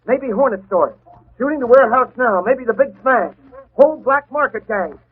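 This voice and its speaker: An actor playing an excitable, slangy, fast-talking newspaper reporter in a 1940s radio drama. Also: male voice, very high voice, breathy edge, heavily acted. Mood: urgent. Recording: muffled, scratchy 1940s radio broadcast.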